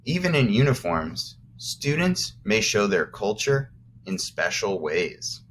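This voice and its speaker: An American man reads aloud like a primary school teacher, speaking very slowly in a lowish voice. He explains things simply and talks straight to young listeners. There is some faint noise behind him. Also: sing-song, fairly bright tone. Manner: simple, explanatory, addresses children directly. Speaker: first grade teacher. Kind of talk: read-aloud to young children with explanatory asides.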